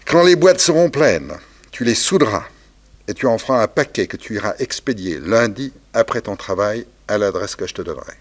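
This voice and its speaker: A deep-voiced male gang boss in a vintage radio crime drama. He gives orders deliberately, with cold authority and a sly, ironic menace. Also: animated. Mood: businesslike. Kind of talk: 1960s French radio crime serial acting.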